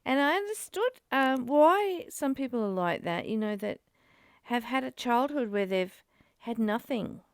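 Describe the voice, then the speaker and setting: A woman's voice: on the high side, lively intonation, measured pace, clear, resonant tone, no room sound, clean studio sound. A woman reading aloud and reflecting in casual discussion, in a musing, questioning and conversational manner. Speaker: study group co-host, reader.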